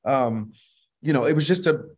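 A middle-aged man with a high voice, a worship leader telling stories in a relaxed, animated way in an interview, laughing often and restarting sentences. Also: normal speed. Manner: animated, laughs often, frequent restarts and "you know what I mean". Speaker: worship leader and vocal producer, interview guest. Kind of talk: relaxed conversational storytelling.

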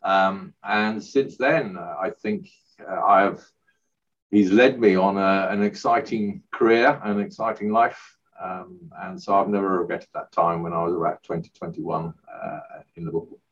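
A middle-aged man from Northern Ireland answering interview questions, hesitant, with many pauses and restarts. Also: sits low, steady tone, far from the mic, lo-fi sound. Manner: hesitant, lots of uhs and restarts. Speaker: guest, charity chief executive.

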